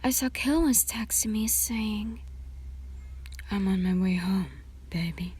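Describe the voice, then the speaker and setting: Lady reading fanfic asmr, real breathy, slow, sometimes nearly whispering. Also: fairly high pitch, darkish tone. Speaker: narrator reading own fan fiction. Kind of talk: scripted narration, ASMR story reading.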